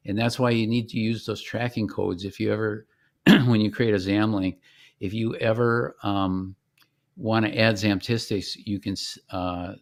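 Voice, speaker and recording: Low-pitched man giving an informal product demo. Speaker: company founder demonstrating his product.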